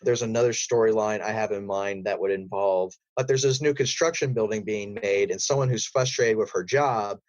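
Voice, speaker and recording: A man's voice: on the low side, normal speed, few pauses, dry recording. A man who works as a voice actor, talking casually as a guest in an interview.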